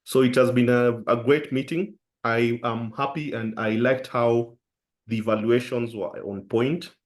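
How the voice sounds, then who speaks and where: A man's voice: mid-range voice, steady tone, measured pace. A man giving a polite, formal thank-you as a guest over a video call. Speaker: guest joining a club meeting. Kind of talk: short speech of thanks.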